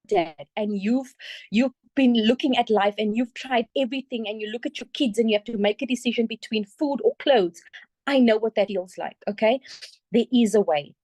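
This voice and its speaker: A South African woman with a fairly high voice and a dry, close sound. She speaks earnestly and emphatically, like someone giving a motivational testimonial to a group. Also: a little mellow, no room sound. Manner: emphatic, earnest, checks in with "okay?". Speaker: community member giving a testimonial.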